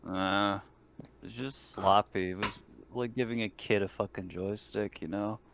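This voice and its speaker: A man with a low, creaky voice chats casually during a tabletop game. His voice chat is clearly noisy. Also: steady tone, unhurried.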